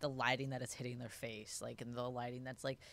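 A man co-hosting a podcast who edits for a living. He talks in an animated, rambling way and leans heavily on fillers. He laughs often between thoughts. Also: mid-range voice, fast talker, vocal fry. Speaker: podcast co-host and editor. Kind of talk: casual chat.

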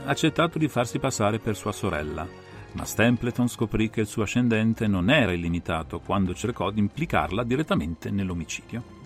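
A man playing a composed, analytical detective who lays out a case with assured, methodical, theatrical phrasing in a dramatised audiobook. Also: on the low side.

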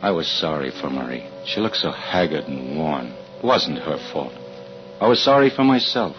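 An actor playing a troubled, desperate fire inspector and husband, narrating and speaking with brooding intensity in a 1940s radio thriller. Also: male voice, a bass voice, animated, normal speed. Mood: weary, haunted. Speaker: actor and first-person narrator. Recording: muffled old radio recording with music.

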